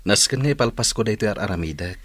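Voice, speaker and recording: A man's voice: lowish voice, lively intonation, quick pace. A man playing a busy, work-focused young villager in an Ilocano radio drama, with an Ilocano accent. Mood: preoccupied.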